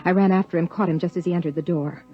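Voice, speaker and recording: An actress playing an anxious, probing wife who narrates a 1950s American science fiction radio play in a measured, dramatic way. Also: female voice, lowish voice, steady tone, close to an everyday voice. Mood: haunted. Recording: dull vintage radio recording with music.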